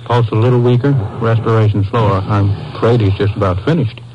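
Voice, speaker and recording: An actor playing a sober hospital doctor in a 1950s radio drama, speaking in a grave, clinical manner. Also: male voice, fairly low pitch, fairly even intonation, a little fast, natural voice. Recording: dull, noisy old-time radio recording.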